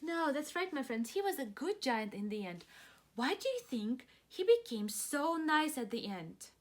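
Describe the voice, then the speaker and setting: A woman's voice: high voice, big pitch swings, unhurried. A woman teacher doing a children's read-aloud, asking simple, encouraging questions of young listeners. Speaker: preschool teacher reading aloud.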